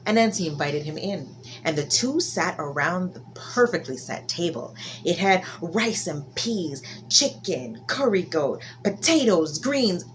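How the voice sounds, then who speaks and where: A woman telling a folktale to children in an expressive style, stretching key words for dramatic emphasis. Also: lowish voice, slight background noise. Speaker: children's storyteller.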